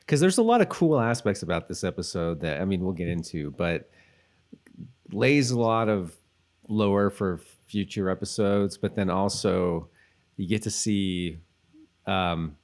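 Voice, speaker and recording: A man's voice: low-pitched, lively intonation, unhurried, a little mellow. A man co-hosting a podcast, chatting casually in a loose, rambling way as he thinks aloud. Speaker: podcast co-host. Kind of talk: casual chat about a TV episode.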